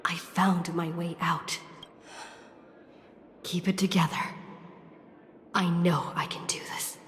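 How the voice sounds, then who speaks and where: Echoing, anxious young game heroine. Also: female voice, lowish voice, steady tone, slow pace, fairly bright tone, slight background noise. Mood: hushed, resolute. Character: anxious, determined young heroine, thinking to herself. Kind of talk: video game voice acting. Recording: long hall-like reverb.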